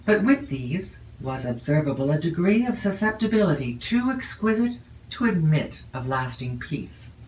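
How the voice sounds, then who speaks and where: A woman narrates classic literature in a roomy space, a little away from the microphone. Her reading is measured and expressive, lingering over phrases. A faint noise sits under the voice. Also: deep voice, distant mic. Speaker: audiobook narrator.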